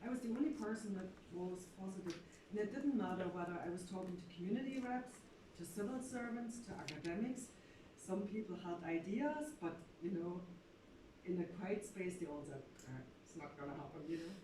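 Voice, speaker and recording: A woman's voice: on the low side, expressive, fairly quick. A woman from East Germany, a community development worker, giving an earnest and thoughtful comment from the floor. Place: lecture room. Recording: echoey room, far mic, some hiss.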